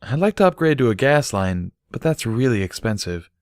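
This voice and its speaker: A man's voice: medium pitch, big pitch swings, normal speed, no room sound, clean studio sound, pitched above the performer's own voice, close to an everyday voice. A man voicing a cheerful, playful and chatty adult female pony in a storybook reading. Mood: practical.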